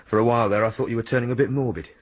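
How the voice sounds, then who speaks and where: An actor playing a loyal, practical detective sergeant who speaks respectfully and plainly. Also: male voice, mid-range voice, steady tone, racing, close to an everyday voice. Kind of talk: radio drama.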